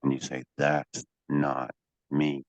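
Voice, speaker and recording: A man working as a hypnotherapy coach, guiding a session in a deliberate, commanding way with drawn-out pauses and phrases to be repeated. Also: a bass voice, completely flat delivery. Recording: dull, echoey lo-fi room sound.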